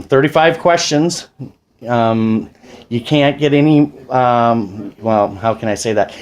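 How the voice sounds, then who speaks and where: A man of about forty with an American accent, explaining things in an informal, rambling way at home. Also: mid-range voice. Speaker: amateur radio operator and father. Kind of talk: casual explanatory chat to camera. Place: indoors at a home radio station.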